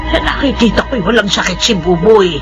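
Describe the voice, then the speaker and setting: A woman's voice: medium pitch, lively intonation, normal speed, over music, lightly acted. An actress playing a superstitious old grandmother with a dramatic, foreboding delivery in a radio melodrama. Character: ominous, superstitious old grandparent, folk healer's sibling.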